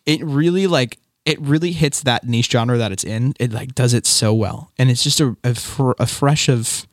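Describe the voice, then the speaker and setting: A young man hosting a music podcast, chatting in an animated, giggly way full of fillers. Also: mid-range voice, moderate pace, dry recording, clean studio sound. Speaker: podcast host. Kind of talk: upbeat podcast chat.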